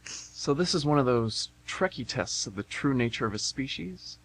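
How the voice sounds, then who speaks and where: Through a humming, noisy recording comes a man acting an awkward young guy on a date. He is kindly and hesitant, speaking at a middling pitch in radio play style. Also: animated, normal speed, fairly bright tone. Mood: curious, game. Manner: hesitant, earnest. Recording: hissy, humming amateur radio broadcast.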